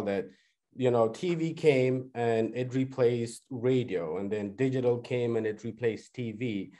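A man's voice: medium pitch, mellow. Man on a webinar video call. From Afghanistan. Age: 30s. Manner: measured, explanatory, with many fillers and restarts. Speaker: guest, head of a news service. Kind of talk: webinar panel answer. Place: indoors on a video call, virtual background. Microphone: webcam video call.

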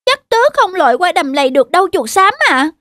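Whiny, very high-pitched little boy mouse. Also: very animated, fairly quick, pushed and bright, no room sound, clean studio sound, full character voice. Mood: feigned tiredness. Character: lazy, whiny young boy mouse, dependent and fretful. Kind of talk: children's cartoon dubbing.

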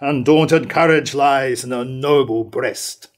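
A man's voice: mid-range voice, slow, a little hiss or hum, natural voice. An older man playing a proud, defiant nobleman in a staged reading of early verse drama. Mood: resolute. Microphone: stand mic at lectern.

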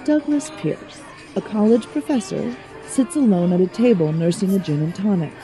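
A woman narrating an audio drama in a measured storytelling manner. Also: mid-range voice, warm tone, faint music behind.

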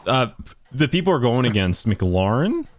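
A man streaming a card game from home, joking and animated as he plays. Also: mid-range voice, normal speed, background noise. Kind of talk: casual gaming chat.

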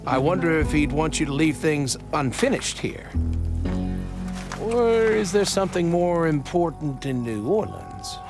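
A man's voice: fairly high pitch, animated, measured pace, over music. A man acting in a video game as a smug, scheming groom, hesitant and bullying, cruel and domineering. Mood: uneasy, needling.

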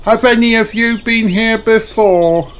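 A man's voice: high voice, steady tone, measured pace, a bit bright, clean tone, pitched above the performer's own voice. A man voicing a welcoming, theatrical female rabbit tour guide in a storybook, announcing in stretched-out words. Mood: cheery, welcoming.

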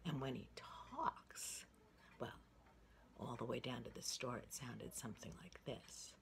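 A woman in her sixties telling a children's story in a dramatic, folksy way with emphatic pauses. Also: a bass voice, vocal fry, whispered. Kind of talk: animated oral storytelling for children. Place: indoor room with wooden ceiling. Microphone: phone at arm's length.

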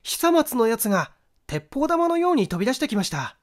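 A man's voice: very high-pitched, very animated, a little fast, pitched above the performer's own voice. A man voicing an earnest young male witness in a mystery audiobook, recounting events formally and in detail. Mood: earnest, thoughtful.

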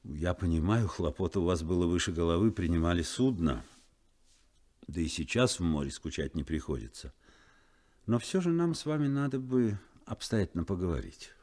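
A ship's first mate in an old soviet radio drama, really low voice, swingy intonation, calm and probing. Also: male voice. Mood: courteous, attentive. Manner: measured, deliberate, probing. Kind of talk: 1970s Soviet radio play acting.

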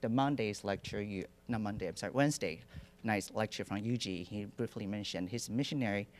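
A middle-aged man from Taiwan, giving a relaxed lecture with many fillers and dry humor into a handheld microphone in a lecture hall. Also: on the low side, brisk. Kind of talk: lecture presentation. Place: lecture hall with projection screen.

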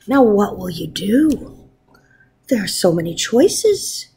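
A woman in her thirties, a first grade teacher, talking informally to young pupils and reading aloud in an encouraging, expressive way. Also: mid-range voice, takes it slowly, gravelly, breathy edge. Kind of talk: warm informal message to pupils and picture-book read-aloud. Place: wood-ceilinged room at home, indoors. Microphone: webcam at arm's length.

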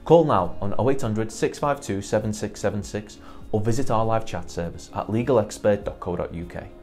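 A man in his thirties with a British accent, giving a scripted, measured and carefully articulated explainer to camera as a presenter for a claims firm. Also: on the low side, a little mellow, soft background music. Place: indoor studio set with brick wall and armchair. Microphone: lapel mic.

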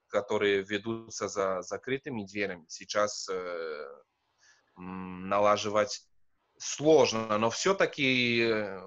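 A man's voice: on the low side, fairly bright tone, lo-fi sound. A man in his thirties, a professor and non-native speaker, answering on a video call with hesitant, filler-laden speech. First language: not Russian. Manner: hesitant, many fillers and restarts. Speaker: professor, guest. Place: wood-panelled room indoors.

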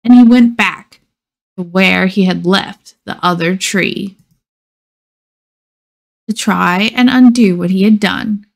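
A woman reading a children's picture book aloud expressively, with measured dramatic pauses and emphasis. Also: medium pitch, creaky. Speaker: storybook reader.

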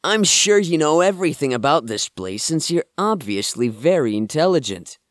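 A man's voice: on the high side, sing-song, moderate pace, dry recording, clean studio sound. A man playing a curious, cheeky and stubborn young man in an audio drama.

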